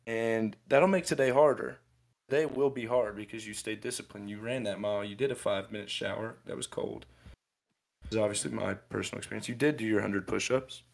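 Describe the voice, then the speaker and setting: Gravelly young man ranting on a podcast. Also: medium pitch. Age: 20s. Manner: rambling, ranting, with frequent restarts. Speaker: podcast co-host. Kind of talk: casual motivational podcast chat.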